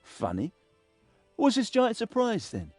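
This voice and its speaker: High-voiced, wry cowardly thief. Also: male voice, huge pitch swings, a little slow, a little mellow, no echo, faint music behind, exaggerated voice. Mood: sullen reluctance. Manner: hesitant, sardonic. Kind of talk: fantasy game acting.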